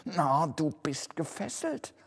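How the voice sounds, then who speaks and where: A man's voice: mid-range voice, very animated, moderate pace, a little mellow, breathy edge, voice pushed up. A man voicing a terrified, pleading old male miser in a storybook, with a trembling, stammering delivery. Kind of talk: dramatic storybook reading. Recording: long hall-like reverb.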